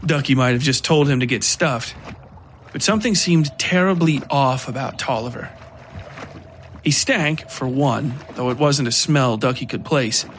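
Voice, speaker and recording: A man narrating scripted dark horror fiction as a podcast storyteller. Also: mid-range voice, measured pace, a little hiss or hum.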